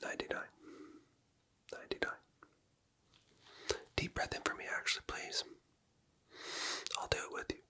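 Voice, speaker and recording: Softly whispering person, bright and airy. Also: moderate pace, pauses often. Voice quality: whispered, mostly unvoiced. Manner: gentle, patient clinical instructions. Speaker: ASMR creator playing a doctor. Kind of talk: ASMR medical roleplay.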